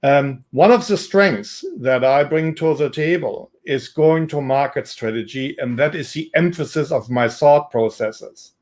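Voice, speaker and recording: A man with a German accent introducing himself as an investor, speaking in a measured, businesslike way with frequent pauses and ums. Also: medium pitch, a little mellow. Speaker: investor and entrepreneur. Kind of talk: self-introduction to camera.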